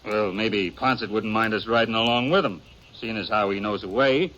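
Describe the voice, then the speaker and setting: An actor playing an eager young cowboy in a 1950s radio western, a smooth talker with a folksy, hesitant American Western drawl. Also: male voice, lowish voice, normal speed, a bit bright, close to an everyday voice. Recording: muffled, noisy 1950s radio recording.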